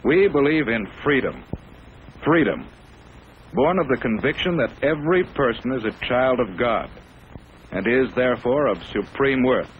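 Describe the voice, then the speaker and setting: A man's voice: medium pitch, expressive, moderate pace, slight background noise, low-quality recording. A man with an American accent narrates a 1950s educational propaganda film in a formal, solemn, declarative manner, on an old film soundtrack.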